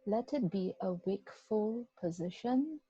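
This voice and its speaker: A woman guiding a body scan meditation, giving gentle, unhurried instructions with long pauses. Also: mid-range voice, animated, husky. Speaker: meditation guide. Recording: dull, muffled lo-fi recording.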